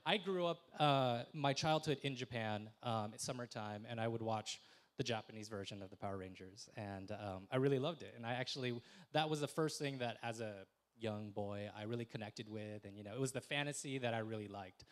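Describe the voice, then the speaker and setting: A young man speaking on a discussion panel in a voice of middling pitch. He reflects slowly on each answer, with plenty of ums and restarted sentences. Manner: thoughtful, many fillers and restarts. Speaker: panelist, film festival director.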